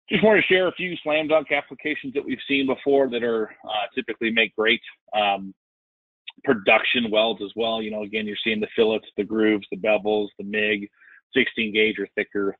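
Guy doing a product webinar, informal explainer, bit raspy, dull dry audio. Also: mid-range voice, no room sound. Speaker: company presenter hosting a product webinar. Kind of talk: slide presentation, conversational explaining.

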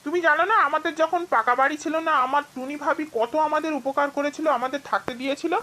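A woman's voice: high voice, fast, pushed and bright. A woman voicing a kind-hearted but firm crow husband in a cartoon, earnest and insistent. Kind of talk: cartoon voice acting.

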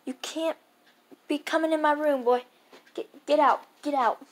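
A young performer playing a grumpy, tired father who scolds his son in a homemade skit, gruff and repeating his orders. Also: sits high, fairly even intonation, measured pace.

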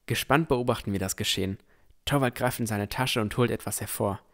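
Young, shy, curious fantasy hero-narrator played by a man, on the low side, measured delivery for an audio drama. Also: a bit bright. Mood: puzzled, tense. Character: Noel, dreamy young village boy, shy and curious. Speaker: narrator and lead character.

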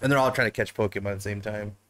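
A man's voice: on the low side, fairly even intonation, rapid-fire, fairly bright tone. A man in his thirties hosting a casual livestream talk show from a living room couch, laughing often and bantering loosely with swearing.

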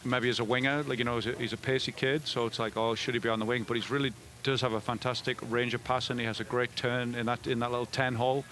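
Middle-aged male coach, post-game, rapid delivery, headset mic, mid-range voice. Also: medium pitch, flat delivery, fairly bright tone. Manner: fast, with frequent restarts and fillers. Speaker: head soccer coach. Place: indoor media room before a backdrop.

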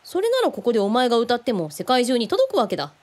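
A woman's voice: fairly high pitch, wildly swooping pitch, quick pace, darkish tone. A woman playing a brash, blunt, easily flustered tough woman in a radio drama. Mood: bossy, keen. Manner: blunt, rough-spoken.

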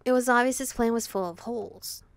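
A woman's voice: on the high side, brisk, no echo. A young adult woman streaming a visual novel, reading the text aloud with casual, slangy reactions. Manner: informal slangy asides. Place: indoor room, webcam setup. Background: game music.

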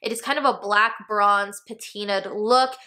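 A woman's voice: medium pitch, steady tone, unhurried, sharp tone. An American woman in her thirties speaking casually and emphatically to camera as an enthusiastic home decor host. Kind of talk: casual product review to camera. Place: small furnished room indoors.

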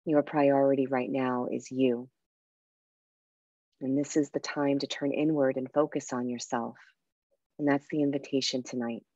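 A woman guiding a meditation and breathing exercise in a soft, unhurried, soothing way with pauses. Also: very deep voice, level tone, no room sound, lo-fi sound. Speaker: meditation guide and coach. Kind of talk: guided meditation with breathing instruction.

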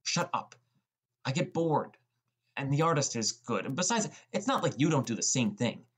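A man's voice: mid-range voice, very animated, normal speed, breathy edge. A man playing a sullen, defensive teenage boy who narrates his own story in a scripted audiobook.

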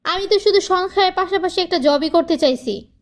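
Cartoon casting: woman as aggrieved young wife, very high voice, plaintive and emphatic, pleading but defiant. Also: brisk. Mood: aggrieved, earnest. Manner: plaintive, earnest, emphatic complaining. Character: frustrated young wife, pleading then defiant about independence. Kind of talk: cartoon family drama dialogue.

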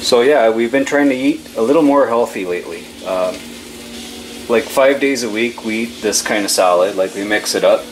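A man's voice: mid-range voice, normal speed. A man in his forties with a Canadian accent, chatting casually and informally as he films himself at home, laid-back and freely swearing. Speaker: home vlogger. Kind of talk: casual chat while filming daily life. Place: home kitchen, with some outdoor shots. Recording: noisy handheld camera audio with ambient hiss.

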